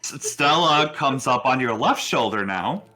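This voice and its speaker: A man's voice: medium pitch, expressive, measured pace, loud music behind the voice. A man running a tabletop roleplaying game as game master, narrating casually in a jokey way.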